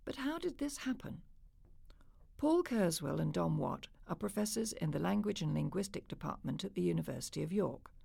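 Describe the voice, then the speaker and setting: A woman narrates a documentary from a script. Her voice is low and heavily creaky with a husky edge, recorded close and dry. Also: very animated, moderate pace, dark tone, no room sound.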